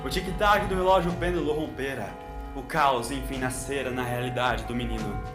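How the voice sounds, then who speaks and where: A man's voice: medium pitch, normal speed. A man with a Brazilian accent narrating a dramatic scripted story. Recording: roomy echo with music underneath.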